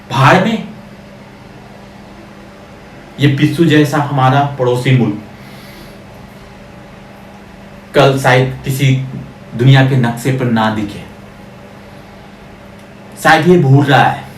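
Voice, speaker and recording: A man's voice: medium pitch, lightly acted. A man playing a bitter, defiant condemned prisoner in a theatrical film monologue, scornfully declaiming at a crowd and repeating words for emphasis. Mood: indignant. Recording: echoey, distant, noisy audio.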